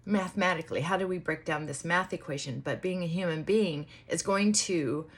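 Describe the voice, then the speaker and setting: A woman vlogger talking in casual, rambling chit-chat, reflective and halting, often trailing off and circling back. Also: lowish voice.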